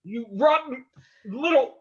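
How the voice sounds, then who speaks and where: A man's voice: very high-pitched, animated, takes it slowly, muffled recording, heavily acted. A man playing a terse, tough undercover investigator in an old-time radio drama. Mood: weakening, angry. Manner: terse, hard-boiled. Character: tough undercover man posing as a crook's partner.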